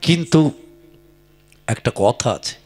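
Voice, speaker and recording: A man voicing a gruff, impatient village gentleman in a comic puppet play, curt and grumbling. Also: medium pitch, animated, slow pace, soft background music. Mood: hesitant, serious. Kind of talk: comic Bengali folk puppet theatre.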